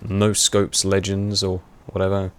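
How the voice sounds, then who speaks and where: Chatty young man with a low voice. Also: monotone, a little slow, smooth. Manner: rambling, chatty, laughs often, many fillers. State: voice going hoarse. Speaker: gaming commentator. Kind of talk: casual gameplay commentary.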